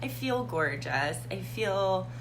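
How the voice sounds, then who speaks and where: A slightly hoarse woman hosting a live tarot reading and chatting casually with her viewers. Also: medium pitch, lively intonation, slow, a little hiss or hum, poor audio. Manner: chatty, warm, greets viewers by name.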